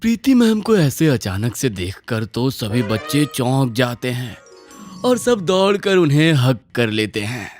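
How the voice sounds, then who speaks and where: A woman's voice: very deep voice, very animated, unhurried, a little mellow, faint music behind. A woman narrating a scripted children's story.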